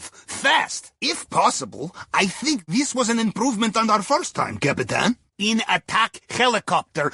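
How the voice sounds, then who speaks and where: A wry, jovial Russian submarine captain in a video game. His voice is fairly high for a man and husky, with a lively melody. He briefs his crew in clipped broken English with dry humour and a Russian accent. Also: dry recording, heavily acted. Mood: urgent, alert. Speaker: mission guide voice in a video game. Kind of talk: scripted video game radio dialogue.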